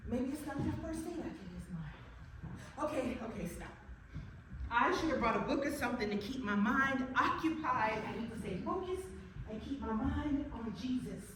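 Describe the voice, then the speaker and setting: An actress playing a devout woman facing breast cancer, talking to herself in a questioning, praying way. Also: sits high. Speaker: woman awaiting a cancer consult. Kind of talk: inner-monologue acting. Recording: very echoey, distant, noisy.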